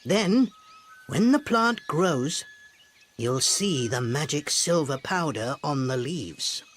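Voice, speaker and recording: Female narrator as crafty old man, storybook tale, slow delivery, dramatic pauses, widely varied intonation. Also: deep voice, animated, faint music behind, voice pushed down. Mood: hushed, instructive. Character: wily old father-in-law, secretive and persuasive.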